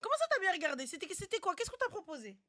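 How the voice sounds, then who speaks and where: Very high-pitched, blunt, insistent woman. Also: sing-song, rapid-fire, pushed and bright, dry recording, clean studio sound. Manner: blunt, insistent, repeats words for emphasis. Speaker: live stream host giving relationship advice. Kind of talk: casual live advice chat.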